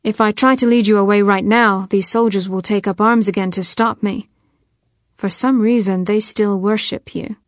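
A woman's voice: mid-range voice, fairly even intonation, normal speed, no echo, clean studio sound, lightly acted. A woman voicing an earnest young male army commander who speaks insistently and pleads for mercy, in audiobook style. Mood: sad, earnest. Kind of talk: dramatic audiobook character acting.

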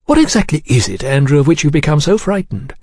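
Man playing suave, menacing English gentleman, pitched up. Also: big pitch swings, fast, no echo. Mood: smooth, probing. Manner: genteel, elaborately courteous, insinuating. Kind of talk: audiobook character dialogue.

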